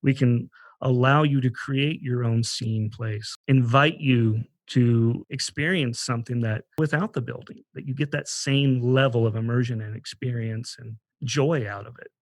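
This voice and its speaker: A man with a mid-pitched voice talks as a podcast host. His delivery is conversational, working through ideas aloud and rewording them as he goes. The sound is dry, with very little room in it. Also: fairly even intonation, measured pace, no echo.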